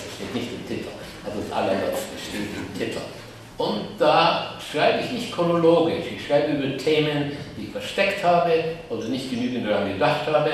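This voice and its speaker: A man, musing slowly and wryly as a guest author in a café discussion, often correcting himself. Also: medium pitch, slight background noise. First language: German. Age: 80s. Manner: slow, musing, self-correcting, wry. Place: crowded café, indoors. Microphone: distant camera mic. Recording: echoey room from far off.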